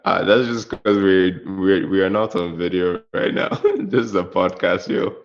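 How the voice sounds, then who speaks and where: A man's voice: sits low, lively intonation, unhurried, highs cut off, slight background noise. A man co-hosting a podcast in a teasing way, laughing often. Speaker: podcast co-host. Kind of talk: casual banter.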